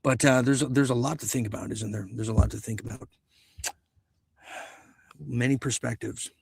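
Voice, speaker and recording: A man hosting a late-night talk show in a casual, halting, musing way, his voice husky and starting to give out. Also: mid-range voice, steady tone, dry recording. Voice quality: husky, gravelly voice. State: losing his voice.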